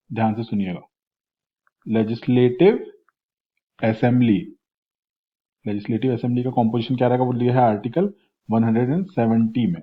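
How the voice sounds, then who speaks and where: A male exam coach with an Indian accent runs through quiz questions, restating them in two languages. His voice is rough and a little hoarse, at a medium pitch. The audio is muffled and lo-fi. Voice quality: husky. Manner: repeats questions in Hindi and English. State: slightly hoarse, sore throat. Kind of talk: quiz-style current affairs lecture. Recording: dull, lo-fi lecture audio.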